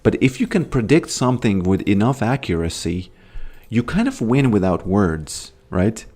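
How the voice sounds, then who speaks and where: Low-voiced man streaming a game. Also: very animated, normal speed, darkish tone. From Latvia. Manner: rambling, musing, greets chat. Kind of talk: casual gaming livestream chat.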